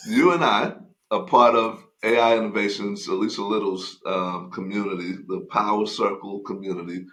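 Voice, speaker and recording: A man with a fairly low voice co-hosts a podcast. He hypes up his guest and then talks with her in a loose, chatty manner. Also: fairly even intonation, moderate pace, fairly bright tone, poor audio. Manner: enthusiastic, cheers and hypes the guest. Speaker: podcast co-host. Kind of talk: casual interview.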